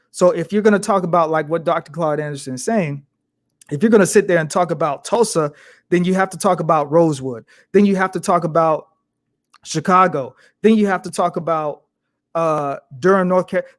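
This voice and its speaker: A man's voice: sits high, dry recording. A young man hosting a podcast, giving passionate commentary with emphatic, repetitive, building rhetoric. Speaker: podcast host.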